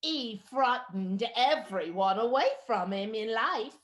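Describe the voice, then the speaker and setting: A woman's voice: on the high side, lively intonation, slow pace. A woman playing a brazen, gloating charwoman who scavenges, in a radio play. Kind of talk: stage-style radio play acting.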